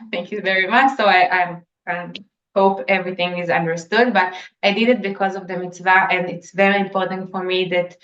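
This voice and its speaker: A young Israeli woman whose first language is Hebrew, speaking earnestly and excitedly as an interview guest, sometimes searching for English words. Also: lowish voice, normal speed, echoey room, dull audio. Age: young adult. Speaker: egg donor guest. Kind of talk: webinar interview.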